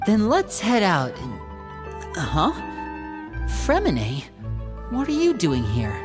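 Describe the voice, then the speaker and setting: Low and lively, a composed young intelligence gatherer from a fantasy game who speaks in a measured way. The pitch still moves a lot despite the low voice. Game music runs behind. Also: female voice, heavily acted. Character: young member of a secretive family, calm and watchful. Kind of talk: game voice acting.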